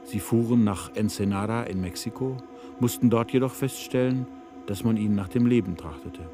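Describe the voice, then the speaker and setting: A man's voice: lowish voice, normal speed. A man working as a radio announcer, reading scripted drama credits and story recaps. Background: music.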